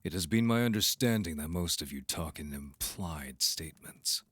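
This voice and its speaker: A man plays a cold envoy from a vampire council in an audio roleplay. His voice is very deep and husky, recorded bone dry. He is formal, curt and openly disdainful. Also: expressive, moderate pace, fairly bright tone, no echo, full character voice. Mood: curt, suspicious. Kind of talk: audio roleplay drama acting.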